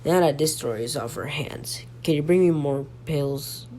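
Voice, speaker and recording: A man playing a sick, halting local radio host who reads breaking news in an amateur audio drama. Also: fairly high pitch, close to an everyday voice. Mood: weary, unwell. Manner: halting, stumbling, breaking off to apologize. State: sick, coughing. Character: ailing male local radio news host, dutiful but fading. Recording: noisy home recording with hum.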